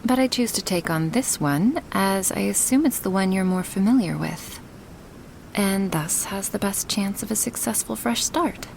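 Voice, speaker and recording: A woman playing a huge, gentle and affectionate female dragon in an ASMR roleplay, speaking softly and intimately to the listener in formal wording, often slipping toward a whisper. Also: medium pitch, moderate pace, gravelly, a little hiss or hum, close to an everyday voice, rough recording. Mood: explaining, gentle.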